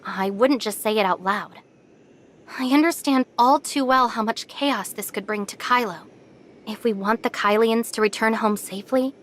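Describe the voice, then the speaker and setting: A woman voicing an earnest, cautious young heroine in an anime game, measured and sincere. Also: on the high side, fairly bright tone, natural voice.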